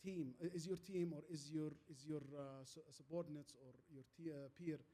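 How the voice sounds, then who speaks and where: A man with an Arabic accent speaks as the guest in a fireside chat. His voice is soft-edged and mellow, sitting a little high for a man. He tells anecdotes haltingly, repeating words and landing on short, emphatic phrases. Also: fairly even intonation. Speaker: guest speaker, transformation leader.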